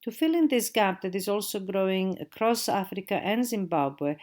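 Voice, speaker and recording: A middle-aged woman from Croatia with a Croatian accent, giving a measured recorded lecture as a digital marketing trainer. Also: medium pitch, expressive, darkish tone. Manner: measured, spelling out web addresses. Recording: audio-only recording.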